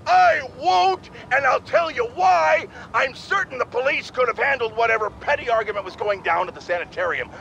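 An actor playing a blustering, indignant older media pundit in a video game, delivering a pompous, emphatic and theatrical editorial rant. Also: male voice, very high voice, creaky, sharp tone, a little hiss or hum, exaggerated voice, rough recording. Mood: defiant, blustering. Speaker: talk show commentator.

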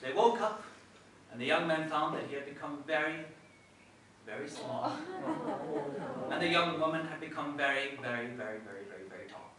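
A young man theatrically narrating a comic fairytale, with dramatic pauses and exaggerated emphasis. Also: on the high side, animated, crowd noise. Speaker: stage storyteller. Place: indoor wooden stage in a hall. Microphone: distant camera microphone. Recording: noisy, echoey hall from far off.

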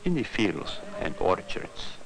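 Deep-voiced, slow male documentary narrator. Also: huge pitch swings, gravelly, noisy background. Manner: measured, deliberate, short phrases with pauses. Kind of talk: scripted narration, storyteller-like, simple sentences. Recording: 1960s educational film soundtrack with music. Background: guitar music.

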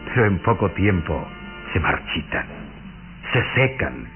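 Sinister aristocratic count, mocking and measured, muffled vintage radio sound with music behind. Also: male voice, low-pitched, sing-song, vocal fry, heavily acted. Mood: mocking, insinuating. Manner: measured, courtly, insinuating. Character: sinister pale vampire count, courtly, mocking, cynical. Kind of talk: Mexican radio drama acting.

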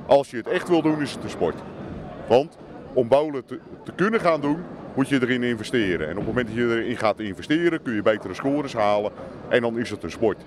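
A middle-aged man calmly explaining bowling to a reporter inside a bowling centre. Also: medium pitch, fairly quick. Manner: calm, explaining plainly. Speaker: bowling club member interviewed. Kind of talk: casual interview answers. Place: bowling centre bar, indoors. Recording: noisy background.

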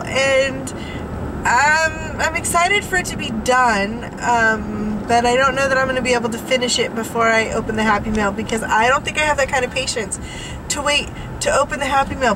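Bubbly high-voiced woman chatting while driving. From California. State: sniffly from allergies. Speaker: vlogger. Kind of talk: casual chat while driving. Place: inside a car, driving. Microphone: dashboard camera mic. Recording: road noise behind voice.

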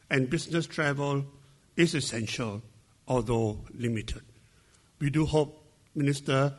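An older man with a Malaysian accent gives a formal welcome address in a measured, deliberate way at a podium microphone. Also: medium pitch. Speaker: event host giving welcome speech. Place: indoor conference ballroom.

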